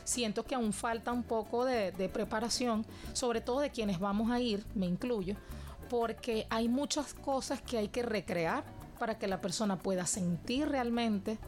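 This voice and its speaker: A woman's voice: a little high, darkish tone. A young Venezuelan woman answering interview questions about her cultural work in an earnest, reflective way. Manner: earnest and reflective, with measured phrasing. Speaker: guest, cultural educator.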